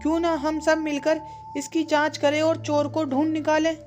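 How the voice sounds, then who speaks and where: A woman's voice: sits high, little pitch movement, moderate pace, darkish tone, soft background music, lightly acted. A woman voicing a clever, eager young village boy who leads his friends in a children's cartoon story. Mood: thoughtful, determined.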